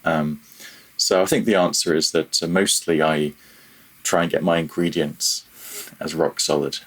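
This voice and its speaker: A man's voice: rumbling low, steady tone, measured pace, a bit bright, slightly rough. A man talking casually as a technical interview guest, feeling his way through his thoughts and rephrasing as he goes. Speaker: podcast guest, audio programmer.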